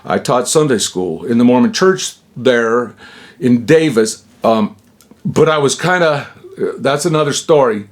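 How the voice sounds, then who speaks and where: A man's voice: mid-range voice, expressive, unhurried. A man in his sixties from Utah, telling a personal story in a digressive, chuckling way, breaking off into asides and slang. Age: 60s. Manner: digressive, self-interrupting, chuckling. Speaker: vlogger.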